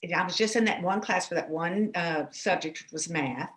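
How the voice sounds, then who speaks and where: A woman gives voice to a panelist's signed answers, speaking at a measured, steady pace. Her voice sits a little low for a woman. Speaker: sign language interpreter voicing a panelist.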